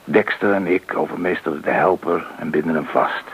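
An actor playing a methodical, businesslike robber gang leader with a gravelly, husky voice in a Dutch radio play. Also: male voice, very deep voice, fairly even intonation, fairly quick, slight background noise. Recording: dull, muffled old radio recording.

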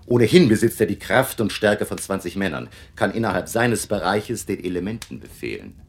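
Stern learned vampire hunter, emphatic lecturing, creaky voice, very expressive. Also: male voice, low voice, exaggerated voice. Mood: grave, resolute. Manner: deliberate, emphatic, professorial. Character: grave, learned male vampire hunter lecturing his allies. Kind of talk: German radio drama acting.

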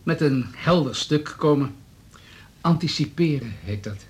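An actor playing a hard-nosed town politician in a radio drama, businesslike, smooth and needling. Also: male voice, fairly high pitch, lively intonation, measured pace. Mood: matter-of-fact. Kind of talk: Dutch radio drama dialogue.

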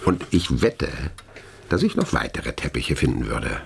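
An actor in a radio play, an older man playing a sly police inspector at the end of his career, musing, deliberate and dryly ironic. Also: very deep voice, sing-song, creaky, slight background noise, full character voice. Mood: slyly probing.

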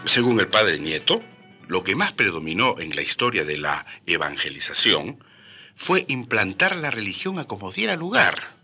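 A man hosting a radio programme, speaking from a script and addressing listeners in an animated way. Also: fairly low pitch, moderate pace, slightly rough. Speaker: radio host. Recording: music behind the voice. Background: music.

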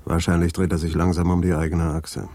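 Deep-voiced, wry middle-aged police detective. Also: male voice, little pitch movement, fairly quick, mellow. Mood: dry. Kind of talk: radio drama acting.

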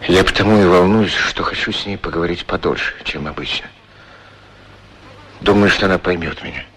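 An actor playing a lonely, tender, anxious war-veteran shoe shiner, speaking hesitantly and earnestly in a 1960s radio play. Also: male voice, low voice, bright, forceful tone, slight background noise. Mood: melancholy, anxious. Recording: old radio recording with music behind. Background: prominent music.

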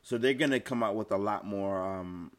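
A man's voice: fairly low pitch, moderate pace. A man giving emphatic opinions in a casual chat about TV shows.

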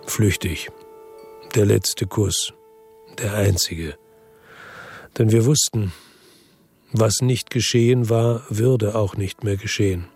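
An actor playing a weary, hard-boiled crime narrator in a first-person radio drama, husky, terse and reflective. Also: male voice, on the low side, darkish tone. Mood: wistful, weary.

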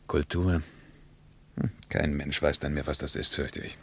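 A man's voice: very deep voice, level tone, unhurried, creaky, breathy edge, full character voice. An actor playing an ironic, sceptical cultured man travelling abroad, in an old radio play. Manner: ironic and sharp. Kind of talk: 1950s radio play acting.

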